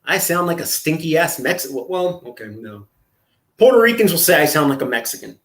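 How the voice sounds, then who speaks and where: Brief: higher-pitched male streamer, crude and joking, echoey room. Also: animated, a little fast, fairly bright tone. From California. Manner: crude, joking, easily flustered. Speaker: streamer reacting to videos. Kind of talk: casual livestream commentary.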